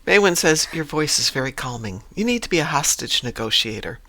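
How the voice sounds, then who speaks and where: A man's voice: on the high side, big pitch swings, a little fast, bright, forceful tone, slight background noise. A man streaming a game, chatting casually and musing aloud as he sorts through menus. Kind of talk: casual chat while gaming.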